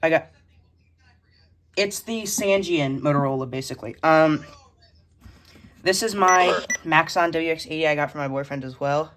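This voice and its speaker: A collector casually showing items one by one in a halting, list-like way, recorded indoors on a handheld phone. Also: medium pitch, a bit bright. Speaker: hobbyist collector presenting a radio collection. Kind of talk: casual show-and-tell narration of a collection. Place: indoor room with shelves of electronics. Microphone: handheld phone behind the camera.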